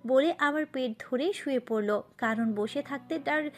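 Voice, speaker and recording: A woman's voice: sits high, a little slow, soft background music. A woman narrating a romantic story from a script.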